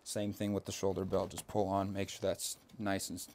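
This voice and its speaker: A man's voice: low-pitched, monotone, moderate pace, a little mellow. A young man giving a step-by-step, hands-on demonstration inside a small parked bus, talking steadily with the odd filler as he works.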